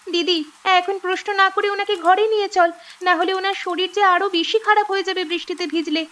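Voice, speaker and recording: A woman voicing high-pitched young village sisters. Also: steady tone, quick pace, fairly bright tone. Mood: concerned. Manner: urgent, caring and earnest. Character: young village girl sisters, caring and brisk. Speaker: voice actor. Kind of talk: cartoon voice acting.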